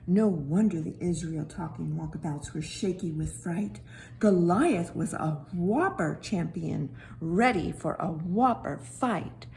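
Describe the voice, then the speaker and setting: A woman voicing a childlike, tender-hearted talking stone that tells a children's Bible story with dramatic, hushed and emphatic delivery. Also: on the low side, takes it slowly, vocal fry, slight background noise, voice pushed down, low-quality recording. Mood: awed.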